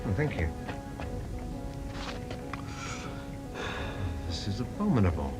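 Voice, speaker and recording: An actor playing an earnest, reserved English gentleman in a British radio drama, formal and polite, with an English accent. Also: on the low side, huge pitch swings, quick pace, loud music behind the voice. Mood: shocked.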